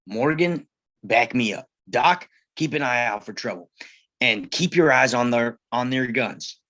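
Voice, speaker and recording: A man acts out a reluctant, cautious frontier lawman at a table read. The voice is bright and forceful at a middle pitch, with a halting, plain-spoken delivery and a weary temper. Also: lively intonation, muffled recording. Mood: tense, commanding. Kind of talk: live screenplay table read.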